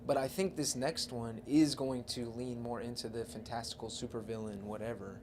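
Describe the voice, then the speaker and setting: A man with a medium-pitched voice talks casually in a podcast discussion. He lays out his counterpoints step by step, with faint noise behind him. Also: fairly even intonation, moderate pace, darkish tone. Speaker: podcast co-host. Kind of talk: casual discussion about movies.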